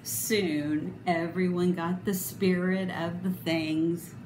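A woman in her fifties reading aloud to children expressively, with deliberate, dramatic emphasis. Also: lowish voice, level tone. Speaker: storybook reader. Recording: roomy, noticeable background noise.

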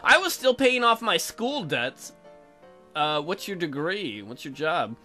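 A man's voice: a little high, sing-song, measured pace, bright, forceful tone, soft background music. A man giving comedic let's play commentary, reading game text aloud in a goofy, crude, improvised way. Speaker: gaming video host.

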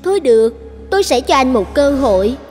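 Haughty, high-voiced village chief's daughter. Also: female voice, big pitch swings, brisk, darkish tone. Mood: haughty, challenging. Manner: disdainful, cutting. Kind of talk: animated fairy tale dubbing. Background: light background music.

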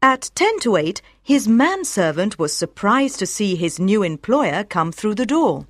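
A woman reading scripted audiobook narration, telling a story with clear articulation for language learners. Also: medium pitch, big pitch swings, a little slow, no echo, studio quality.

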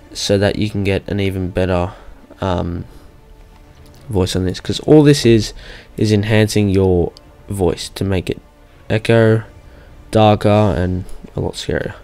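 A man's voice: on the low side, darkish tone. Casual young man narrating over music. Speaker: tutorial host. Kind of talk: casual software screen-recording walkthrough.

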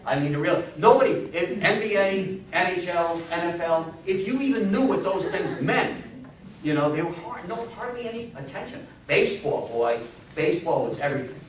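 Male American history lecturer, emphatic, higher-than-average pitch, distant mic with faint background noise. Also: expressive, normal speed. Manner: emphatic, asks rhetorical questions. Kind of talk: informal public history talk. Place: small indoor meeting room with seated audience. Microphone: distant camera microphone. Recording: echoey room, far microphone, faint hiss.

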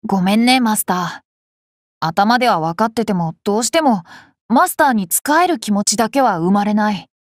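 A woman's voice: mid-range voice, lively intonation, normal speed, no room sound, studio quality, close to an everyday voice. A woman voicing a cheerful, curious boy king for an anime game, boyish and polite, with playful self-assurance. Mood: thoughtful, candid. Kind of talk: Japanese anime game character lines.

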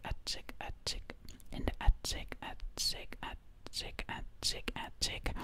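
A young woman whispering breathily and close to the mic in an ASMR roleplay ramble, hushed and repeating words and phrases rhythmically. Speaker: ASMR performer playing a time-travelling zombie princess. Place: dim home room, indoors. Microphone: close ear-to-ear microphone.

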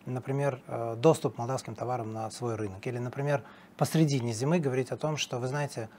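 A man's voice: medium pitch, steady tone, fast talker, darkish tone. A middle-aged man, a political analyst guest in a TV studio interview, talking emphatically with fillers and restarts, on a lapel mic.

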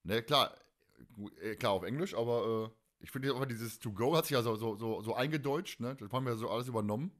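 A man's voice: medium pitch, fairly quick. A man in his forties hosting a podcast in a casual, colloquial and enthusiastic chat style. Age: 40s. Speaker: podcast host.

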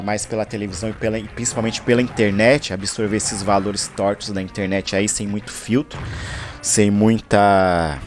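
A man talking casually over his gameplay footage, rambling and searching for words, from Brazil. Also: fairly low pitch. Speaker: gaming channel host.

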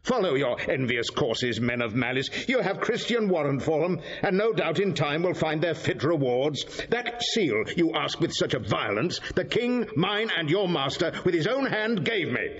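A proud, powerful cardinal in a Shakespearean radio play. His husky voice declaims in measured rhetoric, on a slightly dull recording. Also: a little high, sing-song, fairly bright tone, heavily acted. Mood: defiant, scornful. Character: proud cornered cardinal, a powerful schemer facing ruin. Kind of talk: classical Shakespearean audio drama acting.

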